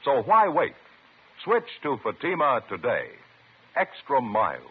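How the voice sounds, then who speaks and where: Male announcer for vintage radio ad: highly animated pitch, slightly husky, polished sales delivery, tinny old recording. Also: slow pace. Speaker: commercial announcer.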